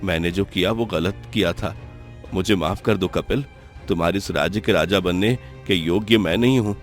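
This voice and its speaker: Man as a deep, solemn rival prince. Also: moderate pace, faint music behind, close to an everyday voice. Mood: remorseful. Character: envious princely cousin, bitter, proud, deep-voiced. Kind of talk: Hindi cartoon moral-story voice acting.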